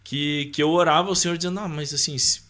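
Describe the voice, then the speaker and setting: A man's voice: fairly high pitch, fairly even intonation, fast, sharp tone. A man with a Brazilian accent speaking as a podcast guest, sharing personal faith and life in an informal, conversational way, often checking for agreement.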